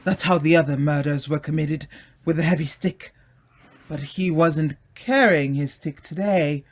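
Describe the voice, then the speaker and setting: An earnest gentleman sleuth played by a male actor, speaking deliberately and slowly. The delivery is theatrical and exclamatory, in the style of old radio drama. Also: fairly high pitch. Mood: urgent, resolute. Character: earnest, take-charge British gentleman amateur sleuth.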